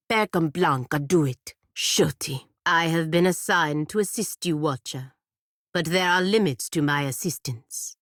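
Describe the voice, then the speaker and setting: An actress playing a proud, dutiful female warrior in a fantasy video game, speaking in a measured, formal, grave way. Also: sits low, dry recording, studio quality. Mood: terse. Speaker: video game character voice actor. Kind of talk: scripted video game dialogue.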